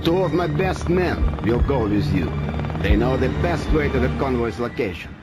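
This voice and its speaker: Russian major for a military game, male actor: Russian accent, measured, wry, over loud music. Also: medium pitch, lively intonation, close to an everyday voice. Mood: dry, confident. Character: wry, calm Russian army major, secret ally.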